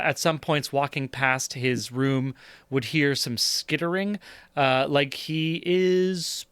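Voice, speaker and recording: Smooth-voiced man running a tabletop game. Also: fairly high pitch, a bit bright, dry recording. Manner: halting, many fillers. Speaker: game master.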